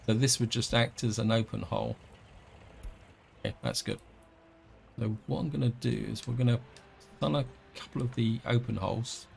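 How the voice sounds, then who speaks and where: Wheezy man, gravelly voice. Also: mid-range voice, moderate pace, pauses often, a little mellow, slight background noise. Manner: rambling, self-correcting, thinking aloud. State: wheezy from an allergic reaction. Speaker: let's play host. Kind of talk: casual gameplay commentary.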